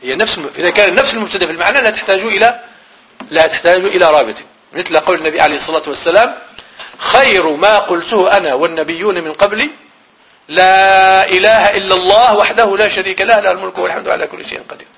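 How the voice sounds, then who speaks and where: A man's voice: high voice, rapid-fire, a bit bright, a little hiss or hum, rough recording. A man with a Moroccan Arabic accent teaching a grammar lesson. He repeats phrases, asks the class questions and then answers them himself.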